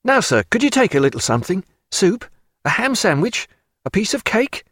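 An eager young naval officer played by a male narrator, pitched well up with lively swings. He is brisk and earnest, an audiobook character of the sailing age, heard very close and dry. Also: husky, no room sound, exaggerated voice. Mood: solicitous.

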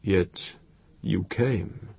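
A man voicing a kind, grave master in a storybook, gentle, restrained and solicitous. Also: mid-range voice, lively intonation, unhurried, gravelly, breathy edge, no room sound, full character voice. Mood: muted, concerned.

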